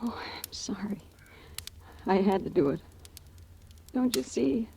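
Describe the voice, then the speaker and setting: An actress playing a desperate, guilt-ridden young wife who pleads in a breathy whisper in a 1940s radio drama. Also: female voice, mid-range voice, slow, dark tone, a little hiss or hum. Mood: pleading. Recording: old 1940s radio broadcast.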